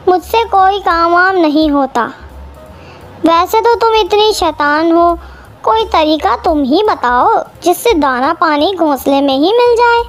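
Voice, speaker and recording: A woman's voice: very high-pitched, full character voice. A woman voicing a lazy, pampered husband crow, whiny and defensive, in a children's cartoon. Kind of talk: Hindi children's cartoon acting.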